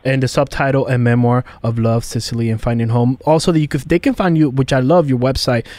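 A man hosting a conversational interview, with halting, repetitive phrasing. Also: medium pitch, steady tone, darkish tone, no echo. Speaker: interview host.

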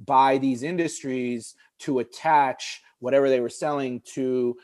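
A man's voice: mid-range voice, steady tone, measured pace, darkish tone, dry recording. A man in his forties, born in Egypt with Arabic as his first language, talking earnestly as a podcast guest and often restarting his sentences. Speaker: guest, juice bar founder. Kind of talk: podcast conversation.

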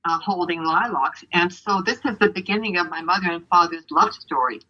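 An older woman from Canada, raised speaking Ukrainian, talks in a bright but breathy voice. She rambles and loses her thread, with many fillers and restarts. The audio sounds muffled and lo-fi. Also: lowish voice, brisk. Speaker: author being interviewed. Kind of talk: casual interview answers.

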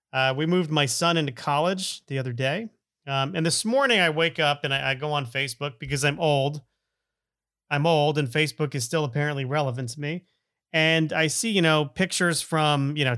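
A middle-aged man rambles through a podcast intro in a bright, slightly high voice. He jokes, laughs often and pokes fun at himself. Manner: joking, self-deprecating, laughs often. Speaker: podcast host.

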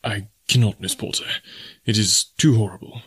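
A male reader plays a young English gentleman in an adventure tale, worn out and quick to feel guilt. He speaks rather low, with formal courtesy and earnestness. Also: fairly even intonation, slightly rough, dry recording. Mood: weary, sorrowful.

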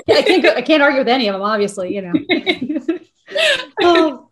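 A woman's voice: sits high, expressive, moderate pace. A woman interviewer asking rambling, filler-laden questions in casual chat. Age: adult.

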